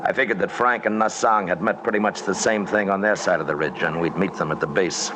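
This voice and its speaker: An actor playing a calm, commanding expedition leader who narrates and acts in an old-time radio drama, measured and matter-of-fact. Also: male voice, sits low, natural voice. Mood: grave, narrating. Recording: very noisy old radio recording.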